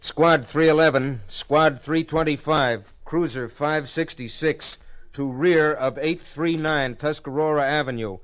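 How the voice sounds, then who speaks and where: A man's voice: on the high side, measured pace, a bit bright. An actor playing a businesslike male police dispatcher in an old radio drama, clipped and official. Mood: brisk, official. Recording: faintly noisy old radio recording.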